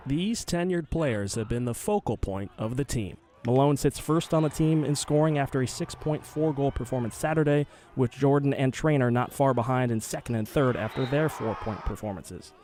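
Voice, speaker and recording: A man's voice: medium pitch, normal speed, few pauses, a little mellow, slight background noise. A man narrating a scripted sports news package as a television reporter.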